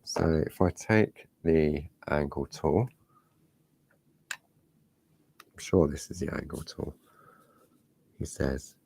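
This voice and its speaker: A man with a slightly croaky voice, demonstrating a parchment craft step by step and chatting to himself as he works. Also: a bass voice, drawn-out words, pauses often, darkish tone. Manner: chatty, talks to himself while working. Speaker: craft tutorial host.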